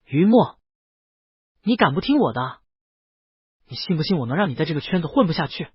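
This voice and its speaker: A man's voice: on the high side, very animated, fairly quick, husky, dry recording, muffled recording, exaggerated voice. A man voicing an impatient, bullying talent agent in an audiobook, commanding and threatening. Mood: angry, threatening.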